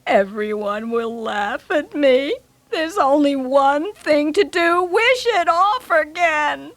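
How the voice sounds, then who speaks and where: A woman voicing a poor fisherman's wife in a storybook, with a high voice that keeps exclaiming and scolding. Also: lively intonation, takes it slowly, exaggerated voice. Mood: wailing.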